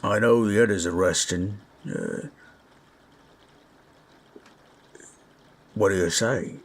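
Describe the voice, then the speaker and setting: A man voicing a kindly, bashful orc companion in a roleplay audio drama, talking in a halting, folksy, gently awkward way. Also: lowish voice, slight background noise. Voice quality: husky.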